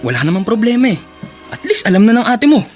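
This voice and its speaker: Cocky, animated Filipino suitor. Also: male voice, high-pitched, fast talker, over music. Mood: smug, teasing.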